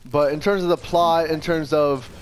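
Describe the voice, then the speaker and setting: A man's voice: a little high, steady tone, moderate pace, slight background noise, poor audio. A young man co-hosting a gaming show, chatting casually and giving emphatic opinions over gameplay.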